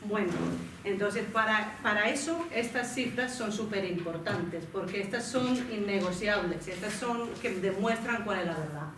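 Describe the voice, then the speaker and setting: A woman from Finland with a Finnish accent moderates a round table in a language that is not her first. She hesitates often, restarts phrases and corrects herself. Her voice reaches the mic from across an echoey room, with clear background noise. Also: mid-range voice, moderate pace, distant mic. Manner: hesitant, searching for words, self-correcting. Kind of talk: panel talk to an audience. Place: lecture room.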